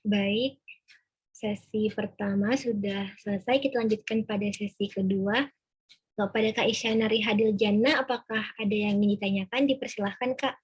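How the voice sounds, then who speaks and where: A woman's voice: medium pitch, fairly even intonation, moderate pace, slightly rough. A woman politely and formally moderating a question session on an online call. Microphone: online video call.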